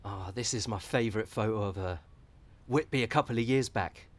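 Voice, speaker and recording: A man's voice: lowish voice, brisk, lightly acted. An actor playing a blunt, cheeky young British man in a naturalistic radio soap. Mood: wistful. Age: young adult.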